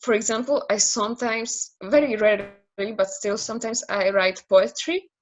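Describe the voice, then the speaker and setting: A woman's voice: mid-range voice, steady tone, normal speed, dull audio. Polish woman guest on a video call, lots of uh and um, restarts a lot. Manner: hesitant, many fillers and restarts, laughs often. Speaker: interview guest, naming specialist. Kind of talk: casual interview conversation.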